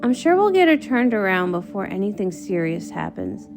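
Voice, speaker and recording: A woman's voice: medium pitch, big pitch swings, moderate pace, over music, pitched below the performer's own voice. A woman voicing a learned, ambitious old male unicorn wizard in a comic read-along, with a grand, reassuring manner.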